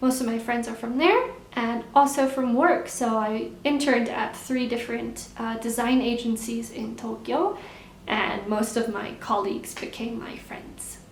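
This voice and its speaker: A young woman vlogger chatting casually while answering viewer questions, relaxed and repeating words for emphasis. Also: on the high side, a little slow, slight background noise. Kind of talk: casual Q&A chat. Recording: echoey room.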